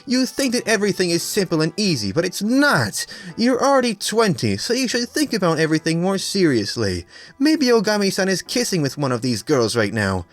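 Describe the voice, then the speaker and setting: A man voicing a haughty, jealous, sharp-tongued young woman from an anime fan story, scolding imperiously. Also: high-pitched, wildly swooping pitch, brisk, soft background music, voice pushed up. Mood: angry, jealous.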